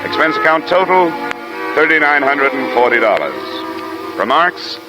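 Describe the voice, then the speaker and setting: An actor playing a brisk, hard-boiled American insurance investigator, speaking tersely and dryly in a 1950s radio drama. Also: male voice, fairly high pitch, lively intonation, natural voice, poor audio. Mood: dry, narrating. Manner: terse, dry. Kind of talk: 1950s radio acting, noir narration. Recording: vintage radio recording with loud music.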